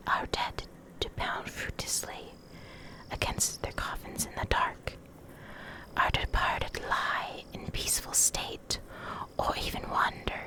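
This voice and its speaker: Slow whispering woman, ASMR reading. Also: high voice, rough recording. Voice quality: soft, breathy unvoiced whisper. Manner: slow, deliberate, pausing between short phrases. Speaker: ASMR creator reading game lore.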